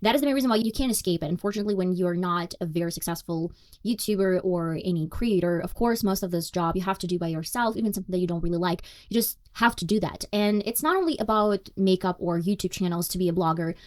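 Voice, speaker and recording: A woman's voice: lowish voice, fast. A young woman with a Russian accent, her native language being Russian, hosting her own podcast and speaking candidly and reflectively in a self-deprecating way. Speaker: podcast host. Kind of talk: solo podcast monologue.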